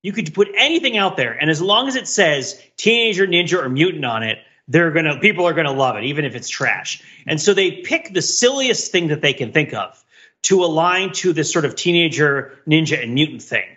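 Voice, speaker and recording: A man's voice: on the high side, animated, fairly quick, sharp tone, dull audio. A man speaking as a podcast panelist in a discursive, emphatic, intellectual way, in casual analytical discussion.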